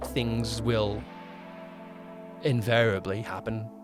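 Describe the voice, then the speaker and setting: A man's voice: on the low side, flat delivery, slow, over music. A man co-hosting a podcast in casual conversation, talking in a rambling way and often correcting himself mid-sentence. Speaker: podcast co-host and producer. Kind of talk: casual chat about a production.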